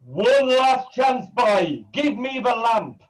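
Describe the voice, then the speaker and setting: A man's voice: very high voice, very animated, slow, exaggerated voice, poor audio. A man playing a scheming, bullying sorcerer in a pantomime, commanding and melodramatic. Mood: menacing. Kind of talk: pantomime villain acting.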